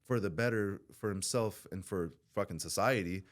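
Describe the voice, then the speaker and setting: A man with a very low, deep voice talks frankly on a podcast, swearing without hesitation. His sentences wander and restart as he works through his thoughts. He is from Southern California. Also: moderate pace. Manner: blunt, swears freely, rambling with restarts. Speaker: podcast guest in recovery. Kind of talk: candid podcast conversation.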